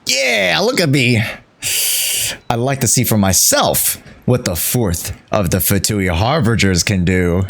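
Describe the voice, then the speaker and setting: Slow-paced, animated young man streaming games. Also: on the low side, creaky. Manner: jokey, theatrical, slangy. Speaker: game streamer. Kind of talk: casual live gameplay commentary.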